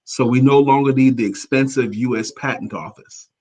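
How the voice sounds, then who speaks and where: A middle-aged American man speaks as co-host of an informal talk show. His voice sits at a medium pitch for a man. He moves between conversational talk and reading viewers' comments aloud. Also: normal speed, a little mellow.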